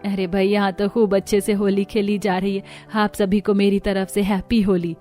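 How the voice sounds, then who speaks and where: A woman voicing a fond, easily hurt middle-aged mother of married daughters in a cartoon story, soft and affectionate. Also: medium pitch, flat delivery, moderate pace, faint music behind, lightly acted. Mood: fond, pleased. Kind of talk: Hindi cartoon story dialogue.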